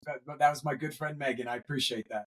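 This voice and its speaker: A middle-aged man, a grandfather, speaking earnestly as an interview guest, pausing often with fillers. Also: medium pitch, steady tone, fairly bright tone. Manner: earnest, hesitant with many fillers. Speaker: guest, veteran educator. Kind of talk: conversational interview.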